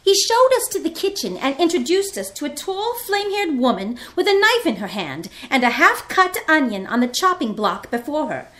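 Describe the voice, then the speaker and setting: Woman as teenage girl diarist, high bright voice, vivid old-time radio storytelling, earnest. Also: big pitch swings, moderate pace, few pauses. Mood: earnest, crisp. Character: earnest sixteen-year-old girl assistant telling her diary.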